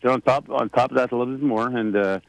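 Gravelly-voiced man in his sixties. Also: medium pitch, steady tone, quick pace, no echo, low-quality recording. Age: 60s. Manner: rambling, warm, many fillers and restarts. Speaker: president of a government, radio guest. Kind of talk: casual radio chat.

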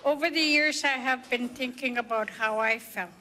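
Brief: older woman from the Canadian Arctic, guest speaker, unhurried testimony, higher bright voice, echoey hall. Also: slight background noise. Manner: slow, measured storytelling. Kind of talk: personal testimony speech.

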